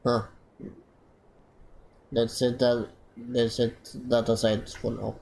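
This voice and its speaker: A man reading video game dialogue aloud as he plays, halting and repeating phrases as he goes. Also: mid-range voice, flat delivery, creaky, darkish tone. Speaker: gaming streamer. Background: game music.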